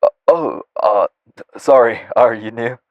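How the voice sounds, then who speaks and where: A man's voice: medium pitch, a little slow, highs cut off, slight background noise, lightly acted. A man acting as a polite, hesitant, apologetic assistant making a business call in an audio roleplay. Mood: awkward, courteous.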